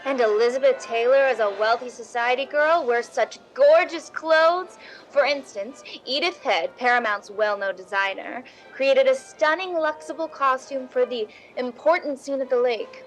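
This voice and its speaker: A woman's voice: high-pitched, moderate pace, faint music behind, lightly acted, poor audio. A woman playing a chirpy 1950s Hollywood fashion reporter, giving polished, gushing scripted radio ad patter.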